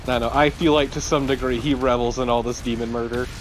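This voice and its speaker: A man's voice: mid-range voice, steady tone, fast talker, soft background music, low-quality recording. A man giving casual co-commentary on a video game, with an opinionated, teasing way of talking. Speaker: let's play co-commentator.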